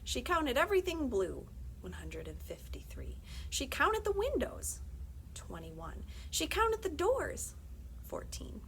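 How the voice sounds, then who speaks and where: A woman's voice: high-pitched, wildly swooping pitch, slow pace, a bit bright, slight background noise, rough recording. A woman reads a story aloud expressively, dramatically, with wry asides. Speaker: library story reader.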